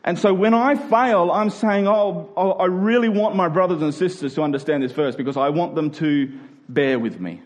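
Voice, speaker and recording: Male pastor, slightly high voice, talks a congregation through scripture conversationally with rhetorical questions. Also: normal speed. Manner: asks rhetorical questions with check-in tags like "right?" and "okay?". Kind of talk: conversational expository sermon.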